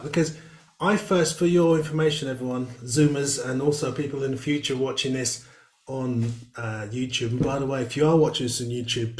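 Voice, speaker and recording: Chatty male host on a video call, rambling delivery, room echo. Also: on the high side. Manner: chatty, rambling with many fillers. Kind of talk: casual video-call interview.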